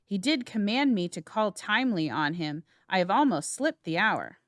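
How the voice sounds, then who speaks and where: A woman's voice: mid-range voice, big pitch swings, normal speed, no room sound, clean studio sound, close to an everyday voice. A woman playing a loyal, courteous nobleman in a theatrical, declamatory Shakespeare reading. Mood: polite, brisk. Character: earnest Scottish nobleman, loyal and courteous, passionate.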